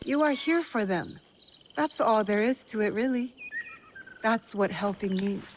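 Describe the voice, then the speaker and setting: A woman's voice: medium pitch, animated, measured pace, slight background noise, natural voice. A woman playing a loyal young warrior, supportive and dryly teasing, in a video game drama. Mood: reassuring, teasing.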